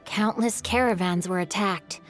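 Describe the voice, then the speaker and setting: A woman's voice: medium pitch, unhurried, natural voice. A woman voicing a polite, bookish young man in a video game, articulate and given to storytelling. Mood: earnest, storytelling. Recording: faint music behind.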